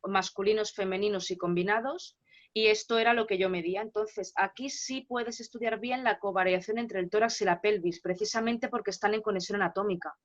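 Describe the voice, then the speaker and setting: A woman with a Spanish accent, a guest lecturer answering questions in an online seminar, explaining in a brisk, assured way. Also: mid-range voice, steady tone. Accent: Spain Spanish. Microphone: video call. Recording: dull lo-fi call audio.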